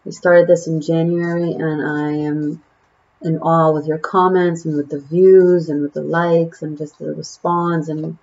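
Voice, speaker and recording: A woman's voice: sits low, level tone, measured pace, darkish tone, dull audio. A woman from Israel with an Israeli accent, a native Hebrew speaker, giving a casual tarot reading to the camera, musing and chatty with many fillers. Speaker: tarot reader.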